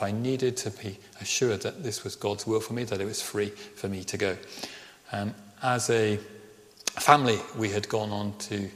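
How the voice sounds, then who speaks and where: Middle-aged vicar in echoing church. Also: male voice, on the low side. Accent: Birmingham with Welsh Ceredigion touches. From Birmingham, England. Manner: earnest storytelling, slows for emphasis on key phrases. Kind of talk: sermon with personal story. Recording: reverberant church hall.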